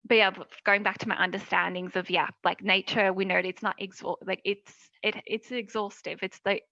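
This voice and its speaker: A woman's voice: medium pitch, level tone, a little fast, pushed and bright, no room sound. A woman speaking on a panel in a rambling, casual way with many fillers. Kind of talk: panel discussion. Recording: dry, dull lo-fi sound.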